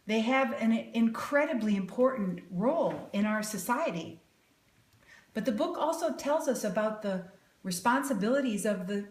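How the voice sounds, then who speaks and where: A woman's voice: medium pitch, echoey room, a little hiss or hum. A middle-aged woman reading a picture book aloud to children, exclaiming and asking them questions as she goes. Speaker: storybook reader and educator.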